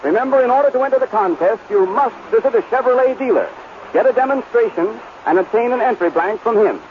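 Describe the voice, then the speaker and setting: An American man working as a 1930s radio announcer, presenting the program and pitching its sponsor in period announcing style. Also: expressive, fast. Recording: muffled, faintly noisy 1930s radio recording.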